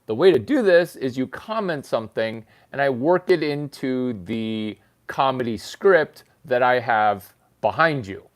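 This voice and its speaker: Slow, animated man riffing crude comedy. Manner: rambling, deadpan lecture-style bathroom humor; animated pitch jumps for emphasis. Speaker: channel host. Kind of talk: crude improvised comedy monologue.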